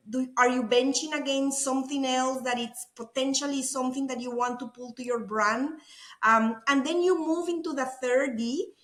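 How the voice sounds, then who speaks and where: A woman's voice: high-pitched, a bit bright, roomy sound. A middle-aged woman from Costa Rica with a Costa Rican Spanish accent, talking effusively as an interview guest. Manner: enthusiastic, effusive, repeats words. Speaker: guest, food innovation executive. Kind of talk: conversational interview.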